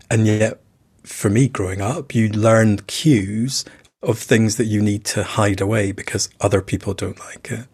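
A man hosting a podcast, narrating calmly and asking guests questions. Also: fairly low pitch, slightly rough. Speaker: podcast host.

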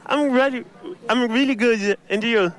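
A young man with an Irish accent, a candle maker at his stall, talking in a halting way and searching for words. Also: very high-pitched, a bit bright, rough recording. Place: outdoor show stall.

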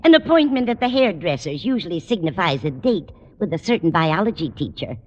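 Older landlady, dotty, kindly, sing-song, animated, old radio sitcom, faint hiss. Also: fairly low pitch, brisk, highs cut off. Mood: cheerful, vague. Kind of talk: 1940s-50s radio sitcom acting. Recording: echoey old radio broadcast with faint noise.

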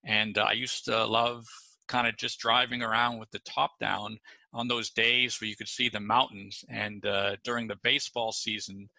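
Man with a gravelly, bright voice. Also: on the low side, normal speed, no echo, poor audio. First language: English. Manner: relaxed, many fillers and restarts. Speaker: podcast guest. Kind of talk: casual interview answers.

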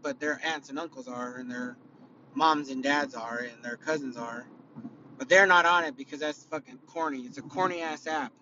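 A man from California with a raspy, tired voice hosting a casual solo podcast, rambling and swearing, full of fillers and restarts. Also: medium pitch, normal speed, bright, forceful tone, dull audio, slight background noise. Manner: rambling, profane, opinionated, with many fillers and restarts. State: raspy, worn-out voice after concerts. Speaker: podcast host.

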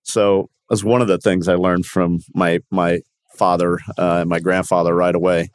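Low-voiced Cuban-born man in his sixties. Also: level tone, normal speed, clear, resonant tone, dry recording. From Miami, born in Cuba. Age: 60s. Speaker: podcast guest, company founder. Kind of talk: conversational interview storytelling.

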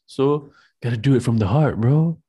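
A laid-back man from Malaysia chatting casually with friends. His voice sits at an ordinary middle pitch, and he tells stories animatedly, swearing freely. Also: moderate pace, dark tone, breathy edge. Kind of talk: casual chat.

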